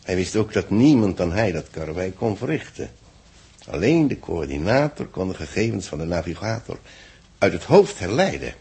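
A man playing a thoughtful chronicler of a space expedition, deliberate, with a gravelly husky voice, in a 1960s radio drama. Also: low voice, sing-song, a little mellow, full character voice. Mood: musing. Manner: musing, deliberate. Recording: old 1960s radio recording.

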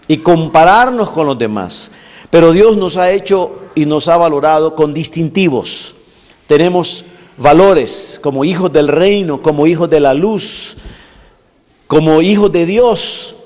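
A man delivers a preaching-style lecture in a slightly high voice. He speaks deliberately, stacking long lists of points with emphasis. His voice rings out with a long reverb, as if filling a big hall. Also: a little mellow. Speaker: teacher of evangelism theology.

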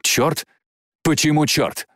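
A man's voice: a little high, steady tone, slow pace, a bit bright, no room sound, clean studio sound, voice pushed up. A man voicing a defiant, passionate young woman who pilots a dragon, crying out intensely in an audiobook. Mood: desperate, alarmed.

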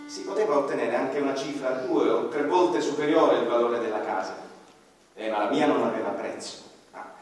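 A man's voice: mid-range voice, moderate pace, close to an everyday voice. Reflective ordinary man recalling his lost home, played by a male actor: stage monologue in a big reverberant hall, mic at a distance, faint noise. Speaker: first-person narrator in a radio play. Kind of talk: stage radio-theatre monologue. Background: violin music.

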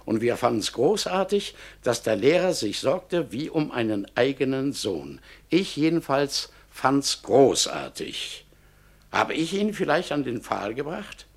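A man's voice: medium pitch, sing-song, unhurried, heavily acted. An actor playing a middle-aged innkeeper who insists before a court that he is not to blame. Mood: defensive. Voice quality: gravelly, husky. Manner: self-justifying, insistent. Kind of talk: radio drama monologue.

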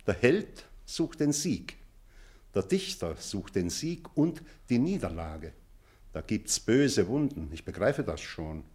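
An actor playing an elderly retired schoolmaster in a drama, pointed and deliberate, stern but tender toward a former pupil. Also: male voice, lowish voice, sing-song, darkish tone. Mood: earnest, moved. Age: older. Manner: deliberate, pointed, rhetorical repetition. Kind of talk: theatrical radio play dialogue.

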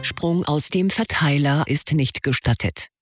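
A man's voice: fairly high pitch, measured pace, over loud music, natural voice. A man voicing an impersonal computer system, formulaic and emotionless, in a radio drama. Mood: emotionless, formal. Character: impersonal computer system voice giving status messages.

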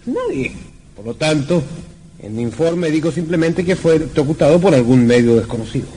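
A man's voice: on the high side, very animated, brisk, mellow, poor audio. An actor playing a blunt, baffled male forensic doctor in an old radio drama, speaking emphatically. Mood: wry, baffled. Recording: old radio recording, faint noise.

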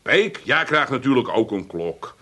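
A man's voice: medium pitch, sing-song, unhurried, a bit bright. An actor playing a shifty, chummy Amsterdam fence in a comic radio play, hedging and wheedling as he talks. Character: shifty, chummy Amsterdam fence selling fake clocks, sheepish burglar.

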